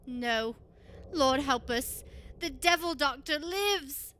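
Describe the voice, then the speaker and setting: A woman's voice: sits high, slow pace, sharp tone, heavily acted. An actress playing a superstitious, foreboding woman in an old-time radio horror drama, ominous and pleading. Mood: dread. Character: foreboding, superstitious woman warning of ancient evil.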